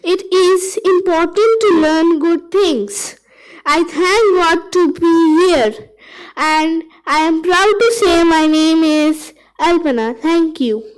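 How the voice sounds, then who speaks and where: A woman's voice: extremely high pitch, slow, poor audio. A woman with an Indian accent giving a careful, recited speech to introduce an organization. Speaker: forum participant representing an organization.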